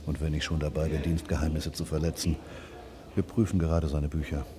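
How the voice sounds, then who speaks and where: An actor playing a dry, terse, probing police detective in naturalistic radio drama dialogue. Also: male voice, a bass voice, level tone, brisk, a crowd in the background. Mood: dry, confiding.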